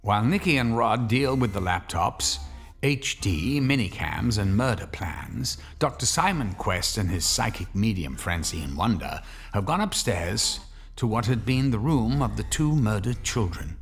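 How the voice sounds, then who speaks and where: A sardonic storyteller in an audio horror drama. His voice is fairly low, delivering scripted narration with wry asides. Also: animated, unhurried.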